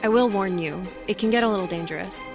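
A woman's voice: medium pitch, fast talker, lightly acted. A woman playing a guarded, determined monster hunter in an audio drama trailer. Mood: ominous, determined. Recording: music clearly under the voice.

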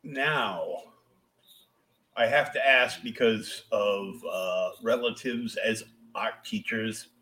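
A man hosting a podcast, asking casual questions in an informal, halting way. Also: fairly low pitch, sharp tone. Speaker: podcast host, interviewer. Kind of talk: casual interview chat.

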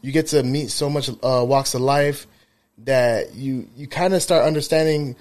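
A man's voice: medium pitch, moderate pace, darkish tone. A man in his thirties co-hosting a casual podcast, telling rambling, profane stories full of fillers. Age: 30s. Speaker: podcast co-host, barber.

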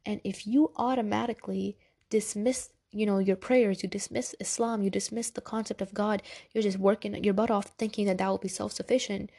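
A woman's voice: medium pitch, fairly even intonation, brisk, vocal fry, dark tone. A congested young woman hosting a podcast, giving casual, direct motivational talk with a soothing voice. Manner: chatty, direct, addresses listeners as girl and y'all. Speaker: podcast host.

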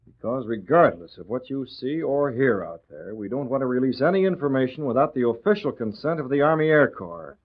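An actor in an old radio drama playing a calm, authoritative newspaper publisher who speaks in a measured, businesslike way. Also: male voice, medium pitch, close to an everyday voice. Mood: firm. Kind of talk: 1940s radio acting. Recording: tinny old radio recording.

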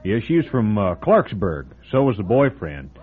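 An actor playing a chatty, folksy small-town diner owner who relishes telling a gruesome local story, in old-time radio drama style. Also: male voice, fairly low pitch, sing-song, moderate pace, husky. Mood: gossipy. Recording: phone-like old radio broadcast with faint music.

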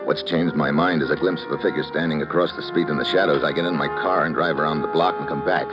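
Cast a clipped, wry private eye; very low voice, 1940s radio style, with music underneath. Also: male voice, steady tone, fast talker. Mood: tense narration. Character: hard-boiled male detective narrating his own case. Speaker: detective. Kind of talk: 1940s radio drama acting. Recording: dull old radio broadcast with music score.

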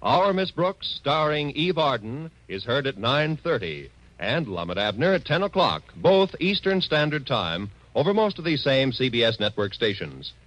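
A man's voice: mid-range voice, big pitch swings, normal speed, few pauses, fairly bright tone, a little hiss or hum, rough recording. A man with an American accent delivering formal, polished, scripted 1940s radio announcements.

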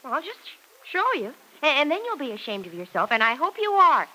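An actress playing a gentle young woman nursing a patient, coaxing and fondly chiding in old radio drama style. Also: high-pitched, big pitch swings, a little fast, heavily acted. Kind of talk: 1940s radio drama acting. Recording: noisy old radio recording.

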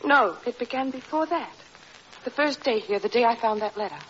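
An anxious, pleading young wife in muffled old radio. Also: female voice, a little high, lively intonation, normal speed, fairly bright tone, slight background noise. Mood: frightened, distressed. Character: frightened, doubting young wife being driven to despair. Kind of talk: 1940s radio drama acting. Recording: dull old radio broadcast recording.

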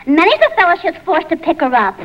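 A woman's voice: very high voice, very animated, fast, background noise. A woman playing a brassy, gullible, man-hungry New Yorker in a 1940s radio comedy. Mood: breezy, defensive. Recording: muffled old radio recording.

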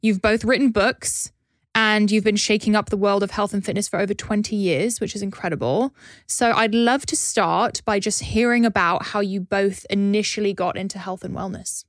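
A woman hosting a podcast in a casual, enthusiastic, chatty way, her voice slightly hoarse as she loses it. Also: medium pitch, fairly even intonation, normal speed, dry recording, studio quality. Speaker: podcast host.

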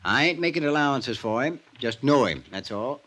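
Stubborn small town constable, rural american drawl, dry and laconic, old radio play, medium pitch. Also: male voice, lively intonation, moderate pace, fairly bright tone. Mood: matter-of-fact, wry. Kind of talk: 1950s radio drama acting.

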